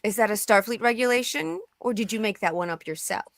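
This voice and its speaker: A woman's voice: a little high, lively intonation, fast, no echo, studio quality. A woman reading a captain's hurt, teasing old flame in a live sci-fi script read, with a pointed manner.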